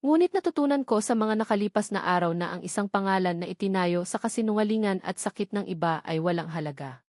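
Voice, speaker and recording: A woman's voice: mid-range voice, lively intonation, quick pace, a little mellow, dry recording, clean studio sound, close to an everyday voice. Woman voicing a resolute, earnest young man. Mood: firm, defiant. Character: resolute young man defying his family, devoted, earnest. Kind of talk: Tagalog romance audio drama.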